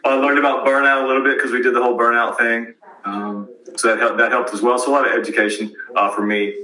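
A man who teaches at a university, reflecting on his year in a casual chat with halting, thoughtful phrasing. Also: medium pitch, level tone, bright, forceful tone, far from the mic. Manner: reflective, halting with fillers and restarts. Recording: echoey room, away from the mic.

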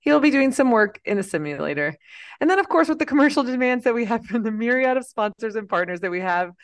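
A woman's voice: on the high side, expressive, fast. A woman co-hosting a casual podcast, reading news items aloud and joking about them. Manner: reads news aloud, then jokes about it. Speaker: podcast co-host.

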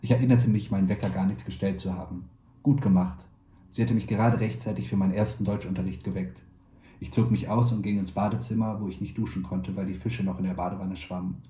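A man reading a short story aloud in a calm, measured way, lightly voicing the dialogue. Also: low-pitched, echoey room. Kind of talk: literary reading.

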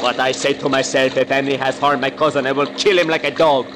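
A man's voice: medium pitch, steady tone, fairly quick, lightly acted. An actor playing a loyal, hot-blooded boatman with a Spanish accent and formal broken English, in old-time radio style. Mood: angry, threatening. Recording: noisy vintage radio recording.

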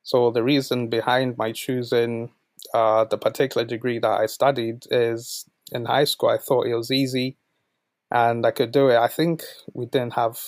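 Man, late twenties, from Zambia, podcast guest, flat even intonation, dry sound. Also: mid-range voice, normal speed, dry recording. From born in Zambia, moved to the UK at twelve. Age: late 20s. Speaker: podcast guest, volunteer manager. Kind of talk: conversational interview answers.

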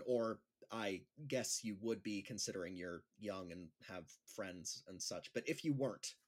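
A man playing an awkward, self-deprecating schoolteacher in a naturalistic audio drama, with a hesitant manner. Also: lowish voice. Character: awkward, self-deprecating male schoolteacher trying stand-up comedy.

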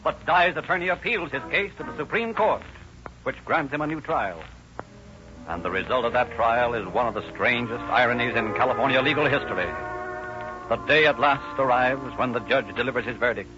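A man's voice: medium pitch, lively intonation, brisk, over music. An American man announcing and narrating in a scripted 1930s radio style. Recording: noisy, muffled old radio recording.

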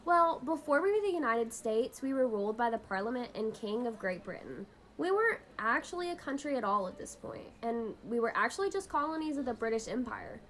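A woman's voice: a little high, lively intonation, brisk, hardly any pauses, fairly bright tone, a little hiss or hum. A woman playing a young woman who patiently explains history to her friend in a scripted amateur radio drama. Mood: explanatory.

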